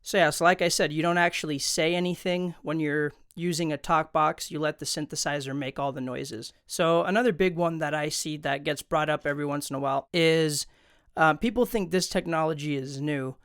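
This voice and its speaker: A man's voice: on the high side, steady tone, fairly quick, fairly bright tone, clear, resonant tone, no room sound. A man hosting an instructional video, explaining things in an informal, conversational way into a close studio microphone. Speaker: tutorial host. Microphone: close studio condenser microphone.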